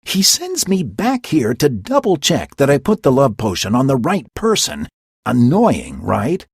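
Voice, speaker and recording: Man doing a giggly, scatterbrained male sprite, breathy, lilting, storybook. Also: mid-range voice, wildly swooping pitch, measured pace, no echo, clean studio sound, pitched above the performer's own voice, full character voice. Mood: grumbling. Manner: chatty asides with giggles.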